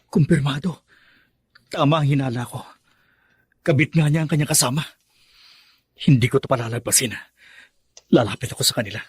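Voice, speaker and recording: A man's voice: mid-range voice, brisk, pauses often, husky. An actor playing an angry, defiant young man confronting his family in a radio drama. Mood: suspicious. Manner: indignant, accusing.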